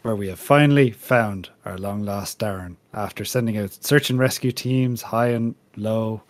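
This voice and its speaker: A man's voice: fairly low pitch, moderate pace. A man hosting a casual panel podcast with wry, jokey banter. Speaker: podcast host.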